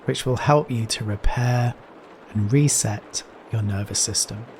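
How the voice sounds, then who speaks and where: A man leading a guided meditation in a gentle, soothing manner, leaving long pauses between phrases. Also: fairly low pitch. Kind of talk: guided Yoga Nidra meditation. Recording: steady rain noise behind voice. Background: rain sounds.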